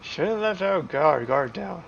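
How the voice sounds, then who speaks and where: A man's voice: fairly high pitch, lively intonation, takes it slowly, gravelly, lo-fi sound, noisy background. A man chatting casually on a game livestream, rambling and thinking aloud. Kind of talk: casual livestream chat and reactions. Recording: game music behind.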